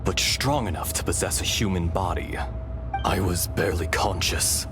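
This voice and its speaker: A man voicing a calm ancient dragon spirit. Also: low voice, close to an everyday voice. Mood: solemn. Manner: measured, solemn. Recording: game audio with loud music behind.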